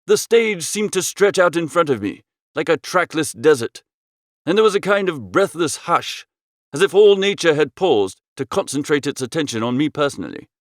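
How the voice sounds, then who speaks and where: A man narrating a comic first-person literary story as an audiobook, in a droll, expressive storytelling manner. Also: a little high, moderate pace, dry recording, studio quality.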